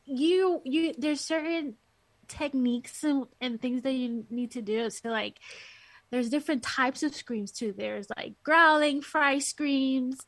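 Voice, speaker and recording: A woman, interview guest and music teacher, with a soft, light, sweet speaking voice, chatting casually with lots of fillers over a call. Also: high-pitched, slow pace, no room sound. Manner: rambling, filler-heavy, giggly. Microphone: headphones on a call.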